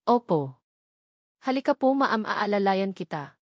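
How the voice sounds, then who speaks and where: A woman's voice: medium pitch, sing-song, measured pace, no room sound, clean studio sound. A woman voicing a deferential, concerned female office worker in a narrated story. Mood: worried. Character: polite, worried female office employee.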